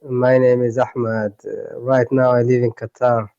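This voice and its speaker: Man, learner of English, slow unhurried delivery, halting and searching for words, dry close sound. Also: mid-range voice, level tone, gravelly, darkish tone, no room sound, highs cut off. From Eritrea. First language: Tigray. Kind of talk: online tutoring conversation. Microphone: video call.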